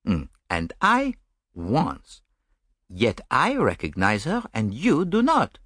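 A man's voice: mid-range voice, huge pitch swings, slow pace, a bit bright, no echo, studio quality, voice pushed up, exaggerated voice. A man voicing a vain, fussy middle-aged Belgian detective with a Belgian French accent and a smug, theatrical manner. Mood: placid smug.

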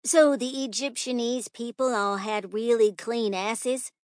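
A woman's voice: fairly high pitch, measured pace, no room sound, clean studio sound, pitched above the performer's own voice, lightly acted. A woman voicing a dim, curious young schoolboy in a storybook, blunt and guileless.

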